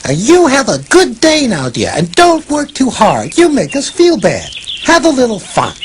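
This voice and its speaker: A woman's voice: sits high, wildly swooping pitch, normal speed, background noise. A woman voicing a frank, chummy little cartoon sidekick with a folksy, blunt manner. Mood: friendly.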